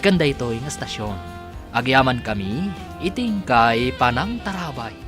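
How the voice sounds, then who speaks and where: A man's voice: medium pitch, sing-song, a little slow. A man narrating and announcing a scripted Philippine radio drama serial. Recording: loud music bed under narration. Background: music.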